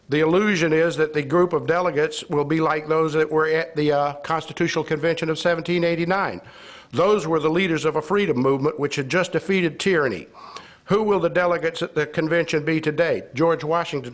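A man in his sixties speaks at a podium in a hearing room, reading from prepared notes. His voice sits a little higher than most men's. He argues his case with rhetorical questions and a sarcastic edge. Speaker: citizen speaker at a legislative hearing. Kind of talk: prepared speech read from notes. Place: indoor legislative hearing room with seated audience. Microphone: gooseneck podium microphone.

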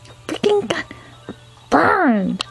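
A woman's voice: sits high, very animated, takes it slowly, creaky, a bit bright, soft background music, voice pushed up, heavily acted. A woman voicing a cheeky, bossy, mischievous character in an amateur comic dub, exclaiming and teasing. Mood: taunting.

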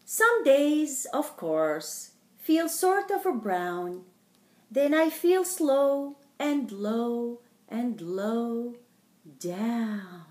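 A middle-aged woman reading a picture book aloud to young children in a theatrical, deliberate way, as a storytime host. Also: a little high, very animated. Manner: theatrical, slow and deliberate, addressing small children. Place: indoor wood-panelled living room. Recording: roomy, echoing home recording.

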